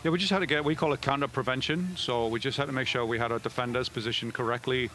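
Middle-aged male coach, post-game, rapid delivery, headset mic, mid-range voice. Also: medium pitch, bright, forceful tone. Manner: fast, with frequent restarts and fillers. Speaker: head soccer coach. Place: indoor media room before a backdrop.

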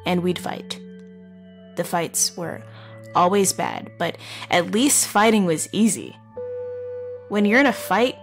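A woman playing a wounded, wry, guarded teenage runaway in a halting, confessional audio drama monologue. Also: mid-range voice, close to an everyday voice. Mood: bitter, reflective. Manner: halting, conversational, with pauses and wry asides. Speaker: narrator of audio horror story. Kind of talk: first-person dramatic monologue, confessional storytelling.

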